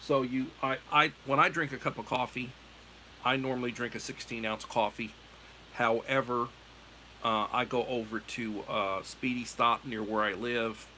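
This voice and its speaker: A man's voice: medium pitch, level tone, normal speed, bright, forceful tone, a little hiss or hum, poor audio. A middle-aged American man hosting a livestream, chatting in a meandering, self-correcting way. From United States. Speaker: livestream host. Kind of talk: casual rambling chat.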